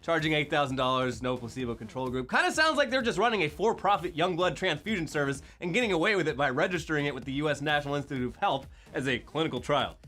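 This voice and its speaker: A man co-hosting a scripted comedy news show, with dry, deadpan jokes. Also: on the high side, fast, hardly any pauses. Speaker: co-host.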